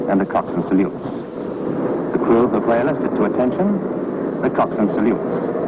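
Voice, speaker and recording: A man reading scripted training-film narration in a formal, instructive, authoritative manner. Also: on the low side. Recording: muffled, noisy old film soundtrack.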